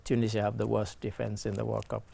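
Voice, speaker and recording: Croaky, very creaky man in sports chat. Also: lowish voice, monotone, fast talker, dark tone. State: croaky after a late night. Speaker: talk show panelist. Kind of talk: conversational sports commentary.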